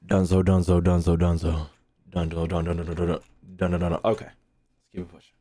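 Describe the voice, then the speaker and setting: A man's voice: low voice, flat delivery, fairly quick, mellow. A young American man streaming a game, chatting casually with slang and swearing, often rambling and repeating himself. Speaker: gaming streamer. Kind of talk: casual, profane game reaction chat.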